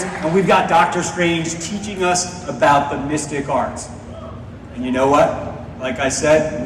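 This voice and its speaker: A high-pitched man delivers an upbeat keynote, repeating phrases for emphasis. He is heard across a big reverberant hall, with a loud crowd around the recording. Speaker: presenter on stage at a company event. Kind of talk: upbeat stage keynote presentation. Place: large indoor auditorium stage, recorded from audience. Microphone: distant phone in audience picking up PA. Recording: echoey hall from far off, loud crowd, noisy.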